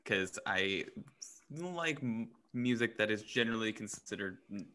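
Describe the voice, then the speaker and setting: A man with a low but bright, forceful voice. He trades casual podcast banter with a wry edge. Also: lively intonation, measured pace. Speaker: podcast co-host.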